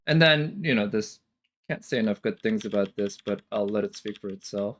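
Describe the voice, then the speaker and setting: A man's voice: fairly low pitch, moderate pace, a bit bright, faint music behind. A man giving casual, off-the-cuff reaction commentary as a streamer, thinking aloud analytically with casual swearing.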